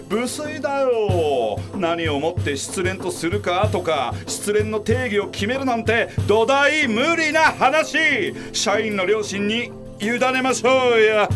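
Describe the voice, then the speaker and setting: A man's voice: sits high, animated, slow. An actor playing a flamboyant, preachy male consultant in a comedic radio drama, theatrical and exclamatory. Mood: scolding, flamboyant. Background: music.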